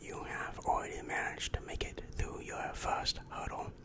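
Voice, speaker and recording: Young man whispering as a weary demon counselor. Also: natural voice. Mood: calm, officious. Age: young adult. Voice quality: breathy whisper. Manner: slow, repetitive, patronizing reassurance. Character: weary, exasperated male demon guidance counselor in hell. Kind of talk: ASMR roleplay. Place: small dim room indoors. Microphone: large microphone very close to mouth.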